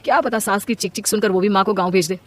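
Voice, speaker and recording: A man's voice: sits high, steady tone, quick pace, natural voice. A man playing a weary, scheming young city husband in a story, talking in a conversational, reasoning way.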